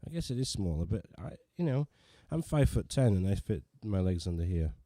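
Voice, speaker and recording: A man's voice: low voice, big pitch swings, brisk, mellow, no room sound, studio quality. A man hosting a casual livestream from his living room, rambling and self-deprecating, with many restarts as he chats with viewers. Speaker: livestream host and pianist. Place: living room, digital piano.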